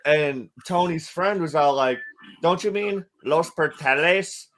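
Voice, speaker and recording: A man's voice: on the high side, slow, fairly bright tone, slight background noise, poor audio. A man with a Midwestern accent from Illinois, telling a story in casual podcast chat, rambling and full of fillers. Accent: Midwestern American.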